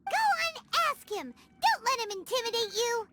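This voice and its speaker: A woman voicing a tiny, cheeky childlike fairy companion with a squeaky voice in a video game. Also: lively intonation, moderate pace, very noisy. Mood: urging. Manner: blunt, chatty, speaks of herself in third person. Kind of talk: animated game character acting. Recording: clear music behind the voice. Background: game soundtrack music.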